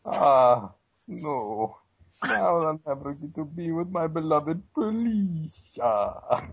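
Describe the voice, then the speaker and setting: A man voicing a melodramatic, self-pitying cartoon villain with theatrical, drawn-out lines, in an amateur parody reading over a noisy, muffled internet call. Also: on the high side, very animated, heavily acted. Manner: theatrical, drawn-out counting and lamenting.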